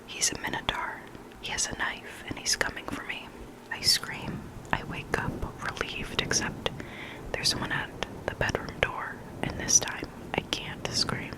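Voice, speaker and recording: ASMR host for spooky story readings, fully whispered and breathy, intimate and deliberate delivery. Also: low-quality recording.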